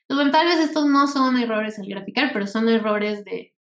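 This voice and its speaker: A woman's voice: a little high, lively intonation, fairly quick, fairly bright tone. A woman with a Mexican Spanish accent giving an informal, explanatory lecture over slides, conversational and often checking in with rhetorical questions. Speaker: workshop instructor. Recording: echoey room sound.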